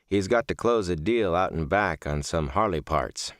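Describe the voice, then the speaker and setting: Man, low pitch, expressive range, dry close sound, audiobook narration, measured storytelling. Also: no room sound. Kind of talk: scripted first-person audiobook narration.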